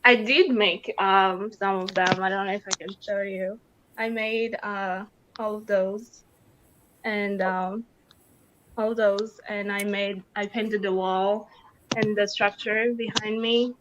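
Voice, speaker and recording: A woman's voice: mid-range voice, fairly even intonation, slow pace, noisy background. A woman from the Congo, a pageant contestant, speaking earnestly and passionately about her goals. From Democratic Republic of the Congo. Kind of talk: interview answers over video call. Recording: dull lo-fi call audio.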